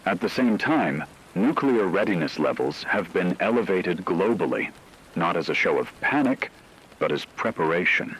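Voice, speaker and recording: A man playing a grave war analyst in a scripted emergency radio broadcast, measured, formal and ominous, over a noisy, rain-like hiss. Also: sits low, very animated, a bit bright. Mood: grave, foreboding. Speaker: news correspondent.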